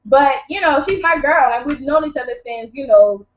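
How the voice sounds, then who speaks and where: A woman's voice: high voice, lively intonation, moderate pace. Chatty woman in an echoey room. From Nigeria. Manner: chatty, rambling, joking. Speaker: co-host. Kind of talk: casual chat. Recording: echoey room, dull lo-fi sound.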